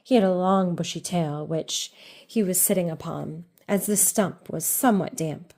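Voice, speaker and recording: A woman's voice: fairly low pitch, moderate pace, a little mellow. Woman reading a children's storybook aloud. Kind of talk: scripted storybook narration.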